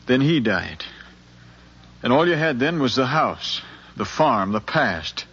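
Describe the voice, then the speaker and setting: An earnest, higher-voiced pleading American son. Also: male voice, big pitch swings, fairly bright tone, breathy edge, exaggerated voice. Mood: gently pressing. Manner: impassioned, deliberate, speechlike with repeated phrases. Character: earnest, insistent grown son pleading with his aging mother. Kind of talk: 1950s American radio drama acting. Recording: dull 1950s radio recording, music.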